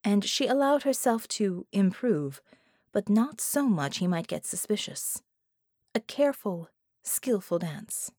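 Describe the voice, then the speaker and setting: A woman's voice: medium pitch, very animated, a little slow, a little mellow, dry recording, clean studio sound. A woman reading scripted fiction as an audiobook narrator.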